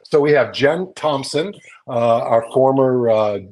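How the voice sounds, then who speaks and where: Older man, mid-pitched voice, casual news rundown, explanatory, chatty, indoor video call. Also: measured pace, darkish tone. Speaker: magazine editor and guest presenter. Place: small home room with bookshelves, indoors. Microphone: video call, close to the screen.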